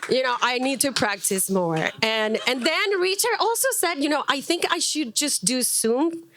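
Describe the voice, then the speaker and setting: A middle-aged woman, a native Spanish speaker, introducing herself to a group as a wellness coach in a chatty, animated, self-deprecating way. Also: high-pitched, moderate pace, slight background noise. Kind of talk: informal spoken introduction before a live group.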